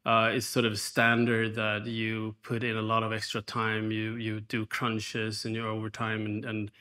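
Flat, bright-voiced hesitant middle-aged man. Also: lowish voice, measured pace. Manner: hesitant, many fillers. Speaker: panelist. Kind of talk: casual panel talk. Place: large stage studio.

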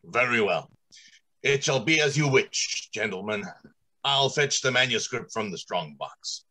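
Man acting an anguished, forceful factory director. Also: mid-range voice, expressive, unhurried. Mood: grave. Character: anguished male factory director, grave and formal. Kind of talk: old-time radio drama acting. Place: indoors, on a video call.

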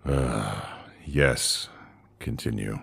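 A man playing a curt, weary, authoritative alien leader in a sci-fi audio drama, with a deep, gravelly, husky voice. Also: drawn-out words, heavy background noise, full character voice. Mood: grave, firm. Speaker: voice actor.

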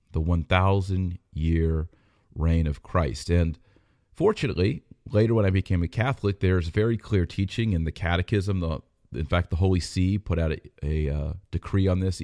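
A man with a very low voice and a nasal, stuffy cold sound hosts a religious teaching podcast. He speaks, casually and with the odd "uh" and restart. The recording is close and dry, with no room sound at all. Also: a little mellow, no room sound. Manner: conversational, with fillers and restarts. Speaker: podcast host.

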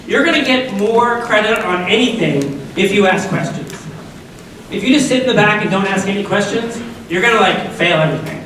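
A woman in her forties with a deep voice, an upbeat and chatty speaker who keeps asking the students questions. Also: noisy background. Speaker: guest speaker at a school assembly. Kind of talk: lively talk to students. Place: large school auditorium. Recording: echoey auditorium from far off.

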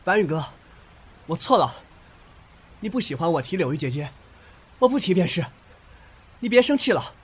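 A man playing a gentle, devoted young man in a radio drama, earnest and submissive, with slightly old-fashioned wording. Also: sits high, big pitch swings, normal speed, long pauses, breathy edge, full character voice. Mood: anxious, apologetic.

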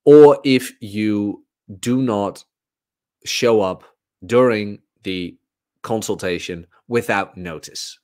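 A man's voice: on the low side, takes it slowly, a little mellow. A man in his thirties giving a casual, informal livestream monologue as a fitness coach, rambling and emphatic. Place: small room at home, indoors.

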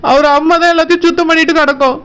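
A woman's voice: extremely high pitch, steady tone, racing, a bit bright. A woman voicing a fed-up young married village daughter in an amateur cartoon, plaintive and insistent, with a Kanyakumari Tamil accent. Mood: complaining.

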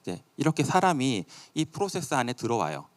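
A man's voice: mid-range voice, huge pitch swings, fairly quick. A man giving a live product demo at a company event in a conversational way. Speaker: presenter at a company tech event.

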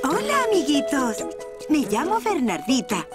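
A woman's voice: high-pitched, huge pitch swings, unhurried, full character voice. A woman voicing a friendly female Saint Bernard rescue dog in a children's cartoon. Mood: friendly, keen. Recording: music behind the voice.

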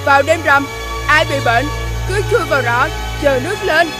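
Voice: sits high, expressive, moderate pace. An actor voicing a cunning young orphan village boy in a fairy-tale cartoon, wheedling and dramatic. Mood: crafty, persuading. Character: cunning orphan village boy, lying trickster, playing pitiful. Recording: loud music behind the voice. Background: music.

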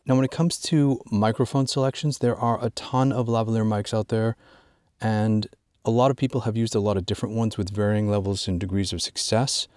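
Man, low voice, informal tutorial presenter, measured emphasis, dry close recording. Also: steady tone, darkish tone, smooth, no room sound. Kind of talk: informal instructional product walkthrough.

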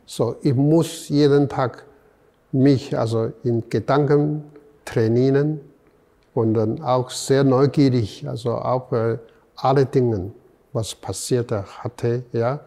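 Slow, gravelly older man, halting. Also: mid-range voice, steady tone, mellow, big-room sound. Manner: halting, searching for words, many fillers. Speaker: artist guest. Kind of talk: halting interview answers. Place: museum gallery room.

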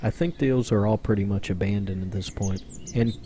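Male vlogger, low mellow voice, rambling voice-over, faint hiss behind. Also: moderate pace. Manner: rambling, conversational, with many fillers. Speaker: urban explorer vlogger. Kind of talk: casual voice-over narration.

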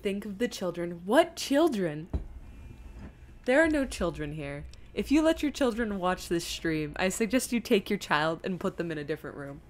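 A woman giving casual live game stream commentary, chatty and thinking out loud as she reads things aloud. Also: on the low side, very animated, moderate pace.

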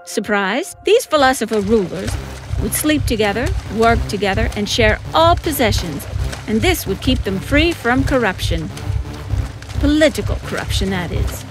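Woman narrator, wry n theatrical, highish voice, music behind. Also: lively intonation, moderate pace. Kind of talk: scripted comic narration.

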